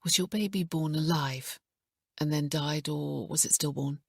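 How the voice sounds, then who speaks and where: A woman playing a calm, gentle detective. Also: low-pitched, vocal fry, husky, no room sound, clean studio sound, full character voice. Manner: gentle, measured and patient. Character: calm, sensitive female police detective.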